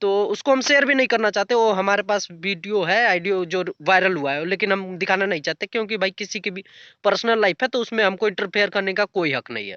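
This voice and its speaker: Bright-voiced young man, blunt commentary. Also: high voice, no echo, highs cut off. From rural north India. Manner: blunt, colloquial, crude slang. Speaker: YouTube commentator. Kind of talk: unscripted casual commentary rant.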